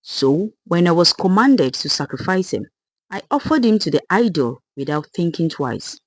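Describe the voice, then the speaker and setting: A storyteller voicing an older village woman, a serene and chilling cult mother who explains herself softly and patiently. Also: medium pitch, big pitch swings, normal speed, rough recording. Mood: patient, unrepentant. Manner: soft, patient, unfazed explaining.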